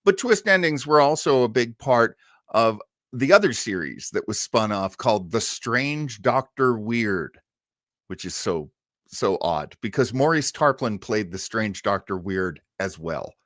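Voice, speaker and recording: A man's voice: on the low side, animated, unhurried, fairly bright tone, dry recording, clean studio sound. A man hosting an old-time radio livestream, chatting casually and enthusiastically in a rambling way.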